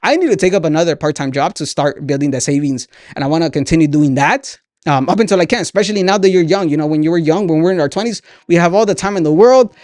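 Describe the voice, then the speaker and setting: A man's voice: fairly high pitch, quick pace, hardly any pauses, darkish tone, dry recording, clean studio sound. A man in his twenties hosting a motivational talk, speaking earnestly and conversationally, often checking in with "right?". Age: 20s. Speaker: podcast host, entrepreneur. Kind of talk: motivational talk, sharing lessons directly to listeners.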